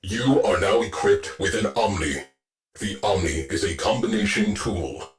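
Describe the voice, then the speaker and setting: A man voicing a stern, deadpan artificial intelligence that runs a warship in a video game, giving clipped military orders. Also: on the low side, a little slow, music in the background, close to an everyday voice. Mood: clipped, businesslike. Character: terse, grim military ship artificial intelligence, male, commanding. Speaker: shipboard tactical officer. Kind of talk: video game mission voice lines.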